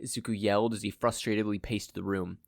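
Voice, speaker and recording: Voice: sits low, fairly even intonation, quick pace, vocal fry, no room sound, studio quality. A fan fiction reader of unclear sex giving scripted story narration.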